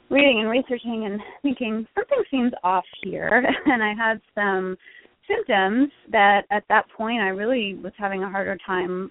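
A woman's voice: mid-range voice, no echo. A middle-aged American woman from Connecticut speaking conversationally as an interview guest and health coach. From Connecticut, United States. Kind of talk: conversational interview answers, telling own story. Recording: tinny narrow-band phone line.

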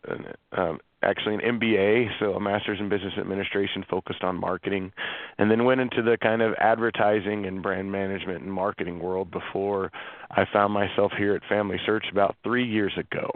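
A middle-aged man from central Illinois, a guest on a radio talk show, speaking in a relaxed, friendly way with fillers. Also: fairly low pitch, a little fast, dry recording. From central Illinois, USA. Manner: relaxed, friendly, laughs easily, uses fillers. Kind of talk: casual radio interview. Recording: dry phone-line sound.

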